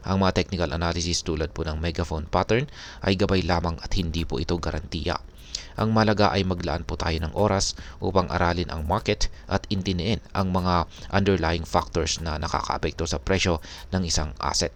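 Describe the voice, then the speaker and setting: A Filipino man hosting an explanatory video, speaking in a polite, measured, formal way. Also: low-pitched. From Philippines. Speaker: crypto video host. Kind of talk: explanatory narration over charts.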